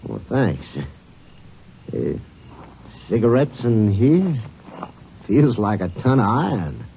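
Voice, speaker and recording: A man's voice: on the low side, sing-song, slow pace, vocal fry, heavily acted. An American man acting a nervous, evasive killer in a western radio drama, jumpy and prone to stammering. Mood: rattled, suspicious. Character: touchy, nervous male killer cornered by a lawman. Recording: muffled, noisy 1950s radio recording.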